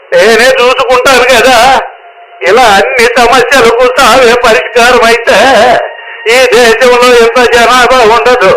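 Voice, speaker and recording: An elderly grandfather with a strikingly high voice. He is doting and wry, given to teasing, coaxing and exclaiming in radio drama fashion. Music plays clearly behind him. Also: poor audio. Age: older. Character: doting, wryly teasing old grandfather, soon a great-grandfather. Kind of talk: Telugu radio drama acting.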